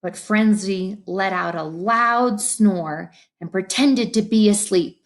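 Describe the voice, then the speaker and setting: A woman reading a children's story aloud in expressive narration. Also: medium pitch, takes it slowly, a little hiss or hum. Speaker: storybook reader.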